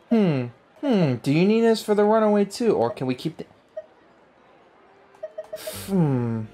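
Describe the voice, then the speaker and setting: A man's voice: sits high, very animated, slow, pauses often, mellow. A young man doing casual, slangy, playful let's play commentary as a video game streamer. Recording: game music behind the voice.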